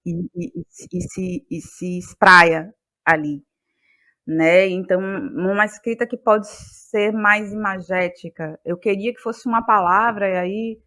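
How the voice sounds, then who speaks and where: A woman's voice: medium pitch, fairly even intonation, measured pace, sharp tone. Thoughtful woman in interview. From Bahia, Brazil. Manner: searching, thoughtful, repeats words as ideas form. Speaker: interviewed guest, playwright and actress. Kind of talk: reflective interview talk about creative process.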